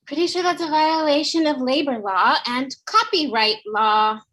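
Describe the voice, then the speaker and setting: Actress as spiteful thirties woman worker, sitcom table read. High, bright, unhurried, dry sarcasm. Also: animated. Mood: dry, flat. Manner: dry, slow, understated sarcasm. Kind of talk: live table read of comedy script.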